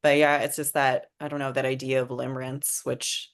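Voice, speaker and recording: A woman screenwriter talking casually about her own work, with informal, halting phrasing. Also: rumbling low, level tone. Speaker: screenwriter and director. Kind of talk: casual unscripted talk about own script.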